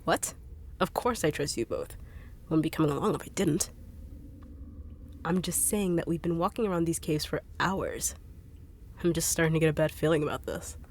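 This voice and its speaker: A woman's voice: deep voice, a little mellow, a little hiss or hum. A woman voicing a blunt, sarcastic female bodyguard on a treasure hunt, in an audio roleplay drama. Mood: skeptical. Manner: fast-talking, no-nonsense, dry and teasing.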